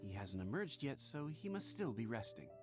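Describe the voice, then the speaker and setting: An actor playing a dutiful young pharmacy apprentice in a video game, polite, careful and earnest. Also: male voice, mid-range voice, big pitch swings, normal speed, over music, lightly acted. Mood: earnest, advising.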